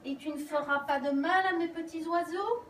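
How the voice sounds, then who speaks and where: A woman acting as a gentle, lonely bird fairy in a family stage play, with a soothing, theatrical delivery. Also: high voice, normal speed. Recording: echoey room, far from the mic, loud background noise.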